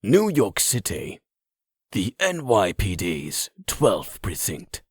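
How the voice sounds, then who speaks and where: A man playing a grave narrator who sets the scene in a comic dub, with a husky voice. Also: sits low, huge pitch swings, slow, heavily acted.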